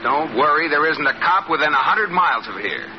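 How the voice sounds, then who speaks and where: An actor playing a flustered, placating husband in an old radio comedy, fussing earnestly and sounding exasperated. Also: male voice, fairly high pitch, animated, brisk. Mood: urgent. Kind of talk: 1940s-50s radio comedy acting. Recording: muffled, very noisy old radio recording.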